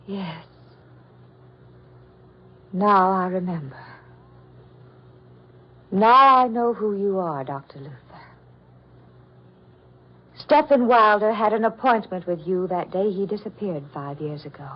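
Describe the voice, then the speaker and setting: An actress in an old-time radio horror play as a highly strung captive soprano, horrified and indignant, with melodramatic delivery. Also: female voice, expressive, slow pace, pauses often, slightly rough. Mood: dawning horror. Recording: muffled vintage radio drama recording.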